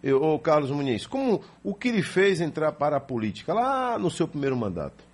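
A man hosting an interview show, chatty and quick with tag questions. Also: a little high. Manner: lively introductions, chatty, tags questions with "né". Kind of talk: radio-style interview hosting.

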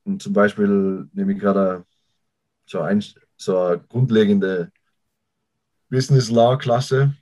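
A young man with an Austrian accent, a college football player answering interview questions in a halting way, often restarting sentences. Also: on the low side, steady tone, warm tone. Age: young adult. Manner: halting, restarts sentences while searching for words. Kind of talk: casual interview talk.

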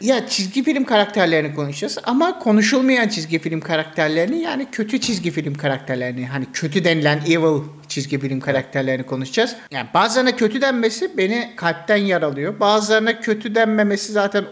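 A man's voice: sits high, animated, fast talker, few pauses, a bit bright. A man hosting a podcast in casual conversation. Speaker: podcast host.

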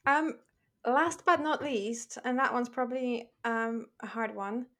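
Higher-voiced woman host asking questions. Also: unhurried. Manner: relaxed, asks questions. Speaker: podcast host. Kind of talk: casual interview.

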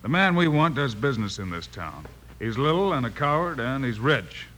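Steady american cowboy marshal, punchy clear-cut delivery, polite and in charge, old radio western with some hiss. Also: male voice, medium pitch, normal speed. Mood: thoughtful. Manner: clipped, decisive, courteous. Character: steady, courteous Western marshal hero, decisive and confident. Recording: noisy vintage radio recording.

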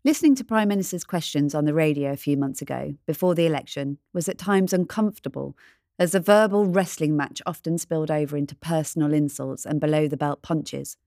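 A woman reading a scripted devotional in a measured, reflective manner. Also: low-pitched, darkish tone, dry recording, clean studio sound. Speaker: devotional reader.

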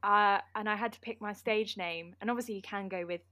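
A woman's voice: mid-range voice, fairly even intonation, fairly quick, bright, forceful tone, dry recording, studio quality. A woman hosting a casual book podcast, bubbly and giggly, with many ums. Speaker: podcast host.

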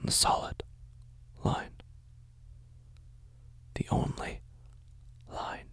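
An actor playing a weary, troubled man in an audio drama monologue, brooding and halting, often hushed. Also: in a whisper. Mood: hushed, intent. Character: weary, troubled man brooding over his warped perception.

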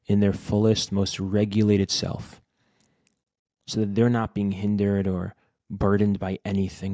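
A man with a deep, low-pitched voice guides a meditation. His delivery is gentle and unhurried, with little rise and fall, and he leaves long pauses between short phrases. The recording is close and dry. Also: dry recording. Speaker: podcast host guiding a meditation.

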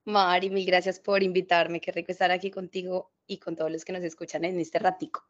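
A woman's voice: on the low side, level tone, a little fast, highs cut off. A young woman from Cali, Colombia, with a blended Caleño and Paisa accent, chatting reflectively as a podcast guest. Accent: Colombian, mix of Cali and Paisa. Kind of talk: casual interview chat.

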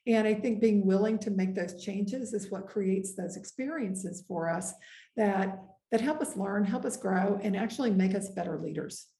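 A middle-aged woman, a guest executive, reflecting earnestly and measuredly on her career in an interview, pausing often. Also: mid-range voice, warm tone. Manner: earnest, measured, with frequent pauses and "um". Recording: echoey room.

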